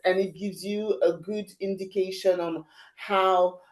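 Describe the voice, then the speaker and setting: A woman's voice: medium pitch, steady tone, measured pace, darkish tone. A woman in her fifties, born in Haiti and raised in France, chatting animatedly as an interview guest, laughing often and restarting her sentences. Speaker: guest, agency founder. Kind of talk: casual interview chat.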